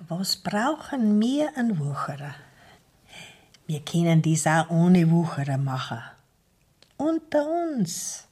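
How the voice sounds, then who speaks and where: Radio drama: chatty Bavarian widow in middle age, husky, folksy, aggrieved and keen on profit. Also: female voice, on the low side, sing-song, takes it slowly, pauses often, dark tone, exaggerated voice. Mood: eager, confiding. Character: talkative, aggrieved, wheedling sawmill widow, eager for profit. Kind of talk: dialect radio play acting.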